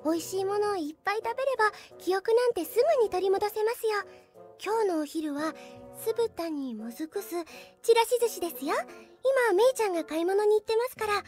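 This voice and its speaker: A devoted middle-school girl in an anime audio drama. Her voice is extremely high and childlike. She speaks with sweet, formal politeness, over faint music. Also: animated, brisk, a little mellow. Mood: polite, gentle. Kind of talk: Japanese anime drama CD acting.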